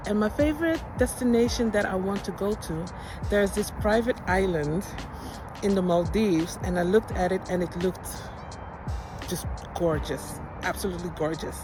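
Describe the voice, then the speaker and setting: A woman's voice: medium pitch, poor audio. A woman from Suriname whose first language is Dutch, chatting casually and expressively in a personal vlog. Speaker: life coach presenting a personal vlog. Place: outdoors by a field.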